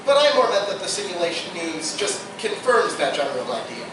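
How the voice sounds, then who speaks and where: A woman's voice: lowish voice, very animated, brisk, far from the mic. A young woman playing a brainy, sardonic college student with dry delivery in a live radio play. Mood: lecturing. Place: live performance room, distant mic. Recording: echoey, noisy live theatre recording from afar.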